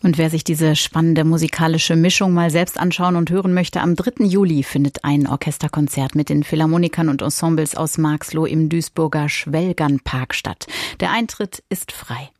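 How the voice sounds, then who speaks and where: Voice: medium pitch, fairly even intonation, normal speed, a little mellow, dry recording, studio quality. A radio presenter giving a broadcast announcement.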